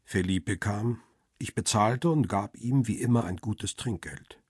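An actor playing a world-weary, guarded paparazzo who narrates a radio crime drama in a measured, understated, husky way. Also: male voice, fairly low pitch, darkish tone, no echo, clean studio sound. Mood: reflective.

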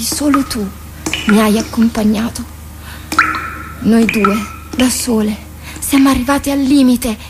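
A woman's voice: on the high side, natural voice. An actress playing a protective, jealous dead woman in a radio drama, pleading and reproachful, with intense theatrical delivery. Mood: tender, intimate. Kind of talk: Italian radio drama acting. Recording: clearly noisy radio drama recording.

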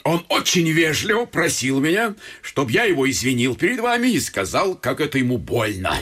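Husky, wildly animated gentleman. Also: medium pitch, normal speed. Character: excitable family friend, a gentleman bearing news. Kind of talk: stage vaudeville radio play acting.

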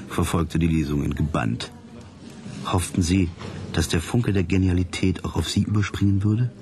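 An actor playing a bored, sardonic detective who narrates a radio crime play in a deadpan, wry way. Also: male voice, low-pitched. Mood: sardonic, suspenseful.

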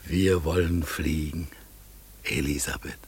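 A man acting in a German radio play as a gentle, melancholy talking raven, with a husky, gravelly voice and halting, hushed delivery. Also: a bass voice, fairly even intonation, exaggerated voice. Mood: tender, solemn.

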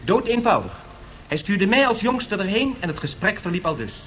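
Radio play: young, eager envoy-salesman, high voice, lively swoops, theatrical. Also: normal speed, soft background music. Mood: wry, narrating. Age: young adult. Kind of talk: satirical radio drama acting.

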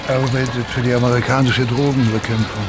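A man's voice: medium pitch, little pitch movement, a little fast, over music, close to an everyday voice. A man playing a guarded, probing riverboat captain in a radio drama, speaking in terse questions and statements.

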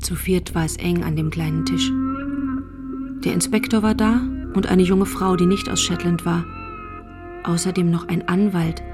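A woman's voice: lowish voice, gravelly. An actress playing the composed storyteller of a crime radio drama, a woman reading scripted literary narration.

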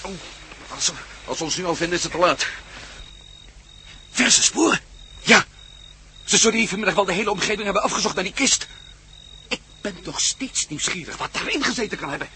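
An actor playing a wary, grumbling adventurer in a radio drama, muttering bluntly and cautiously. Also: male voice, high-pitched, lively intonation, a little fast, pauses often, background noise. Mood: tense, cautious. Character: wary, grumbling male adventurer creeping about at night.

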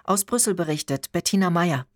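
Low-voiced German woman reading news. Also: expressive, fairly quick, no room sound, clean studio sound. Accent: standard German. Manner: formal, factual delivery. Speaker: radio news anchor.

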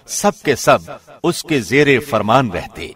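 A man reading a scripture translation from a script in a formal, measured, solemn manner. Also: medium pitch, big pitch swings, a bit bright. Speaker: radio host reading a translation.

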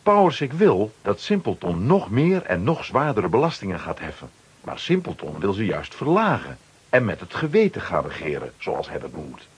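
A man's voice: on the low side, breathy edge. A man narrating a children's radio play from a script, dramatically.

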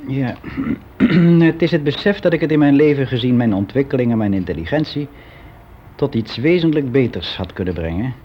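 An actor playing a weary, resigned office clerk around sixty, confiding in a halting, reflective way in a naturalistic radio play. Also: male voice, lowish voice, natural voice. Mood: resigned, regretful. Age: 60s. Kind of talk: naturalistic radio drama monologue. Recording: dull, noisy radio play recording.

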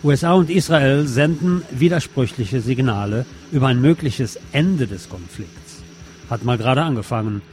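A man's voice: medium pitch, darkish tone, no echo. A man hosts with scripted political commentary, in a mid-range voice. He drops sardonic asides into the reading. The sound is dry, with very little room in it. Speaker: host.

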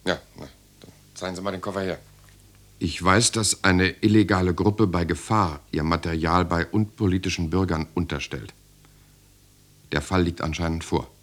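An actor in a radio drama playing an earnest writer and secret resister, in dialogue and first-person narration. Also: male voice, deep voice, steady tone, moderate pace, fairly bright tone. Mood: guarded, earnest. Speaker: protagonist and narrator.

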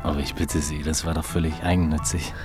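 Deep-voiced, suave rich bar regular. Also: male voice, little pitch movement, fast, music in the background. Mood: sociable, assured. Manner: suave, casually commanding. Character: smooth, commanding wealthy male hotel-bar regular, generous and sociable. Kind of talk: German radio drama acting.

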